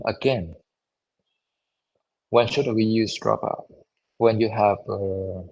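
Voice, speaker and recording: A hoarse man lecturing at an unhurried, drawn-out pace, stopping often to repeat himself and hunt for words. His voice sits low and gravelly with a rough, husky edge. The audio is dull and lo-fi. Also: a little mellow. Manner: halting, with many repeats and fillers. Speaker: university lecturer. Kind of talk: online lecture.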